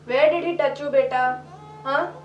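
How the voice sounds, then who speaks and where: A woman's voice: sits high, fairly even intonation, moderate pace, dull audio, close to an everyday voice. An actress playing an insensitive doctor with an Indian accent, insistent and patronising as she coaxes a child in a film. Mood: pushy, coaxing. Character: insensitive, pushy woman doctor questioning a child. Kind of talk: dramatic film acting. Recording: roomy, distant, music behind.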